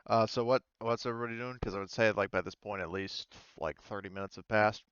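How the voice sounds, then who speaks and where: A man's voice: lowish voice, steady tone, fast, a bit bright, clear, resonant tone, dry recording, highs cut off. A man running a tabletop game as game master, talking in a tentative, conversational way. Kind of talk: casual tabletop game narration.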